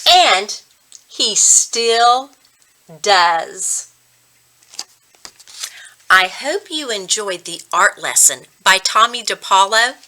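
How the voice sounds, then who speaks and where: A woman reading a children's story aloud as a reading coach, deliberate and expressive. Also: medium pitch.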